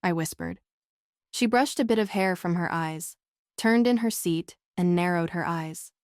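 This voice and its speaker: A woman's voice: fairly low pitch, unhurried, darkish tone, no room sound, clean studio sound. A woman reading scripted first-person novel narration as an audiobook narrator.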